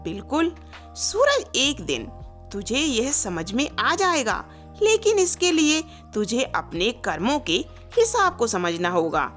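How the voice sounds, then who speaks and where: Woman doing a kindly wise old granny giving advice in a story, slow, lots of pitch movement. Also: wildly swooping pitch, a bit bright, faint music behind, full character voice. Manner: reassuring, instructive.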